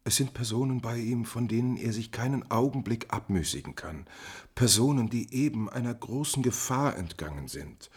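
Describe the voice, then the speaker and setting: A man playing a smooth, evasive courtier in 1950s German radio drama, with a formal, deferential way of speaking. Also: medium pitch, animated, moderate pace. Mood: guarded politeness. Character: smooth, guarded male courtier, evasive and servile to his prince.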